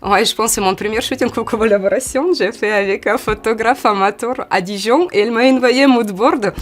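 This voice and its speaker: Une femme d'une quarantaine d'années, d'origine ukrainienne, avec un ukrainien, qui répond à une interview sur un ton décontracté et hésitant. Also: female voice, on the high side, fairly quick. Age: 40s. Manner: hesitant, many "euh" fillers, lively when acting out quotes. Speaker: model, interview guest. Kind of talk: casual interview answers.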